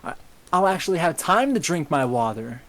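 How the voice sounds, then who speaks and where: A man's voice: a little high, big pitch swings, moderate pace. A man chatting casually as co-host of a group livestream, jokey and often chuckling. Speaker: co-host and story reader.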